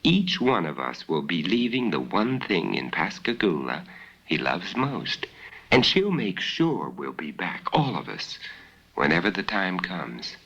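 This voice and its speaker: A man's voice: low voice, big pitch swings, a little slow, a bit bright, slightly rough, heavily acted. An eager, sentimental youth voiced by a male narrator speaks with earnest animation. It comes from a storybook reading, and the recording is muffled and lo-fi. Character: eager sentimental teenage boy, youngest of friends.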